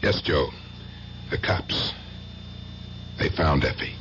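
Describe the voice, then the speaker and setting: An actor playing a steady, protective, plain-spoken uncle in an old-time radio drama. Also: male voice, rumbling low, slow pace, full character voice. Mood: somber. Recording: dull, noisy old radio recording.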